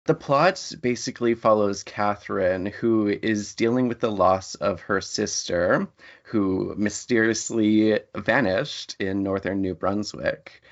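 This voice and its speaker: A man's voice: lowish voice, creaky. A man speaking as a writer being interviewed, answering in a thoughtful, articulate, reflective way. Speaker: interview guest, writer and lecturer. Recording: dull, lo-fi audio.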